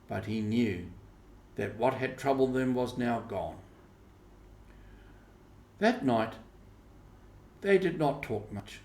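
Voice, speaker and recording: A man narrates a storybook in a deliberate way. His pace is markedly slow and his pitch sits in the middle. The recording has a clear room echo. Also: a little mellow. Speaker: audiobook reader. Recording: roomy, echoing voice recording.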